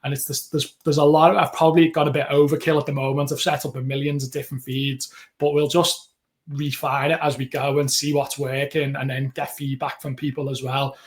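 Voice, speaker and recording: Liverpool-accented man, flat chatty delivery. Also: mid-range voice, fairly quick. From England. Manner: chatty, rambling with frequent restarts. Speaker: podcast co-host. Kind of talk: casual podcast chat.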